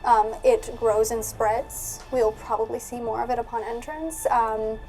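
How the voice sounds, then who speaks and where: A woman with a fairly high voice talks casually as a player in a tabletop role-playing game. She thinks aloud in a deliberate, analytical way. Quiet music sits behind her. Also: fairly even intonation, poor audio.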